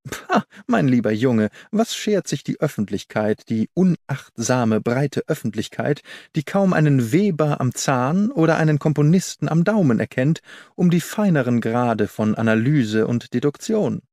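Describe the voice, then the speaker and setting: A man's voice: medium pitch, animated, a little slow, darkish tone, no echo, studio quality. A man voicing a haughty, didactic detective in an audiobook, pompous and precise in his lecturing. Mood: scornful, gloomy. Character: haughty, didactic male detective, proud of cold logic. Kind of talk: German audiobook character reading.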